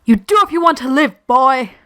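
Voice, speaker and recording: A woman voicing a blunt, dramatic male self-defense teacher who instructs emphatically in an animated story. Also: sits high, moderate pace. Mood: loud, insistent. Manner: emphatic, mock-grandiose, instructing.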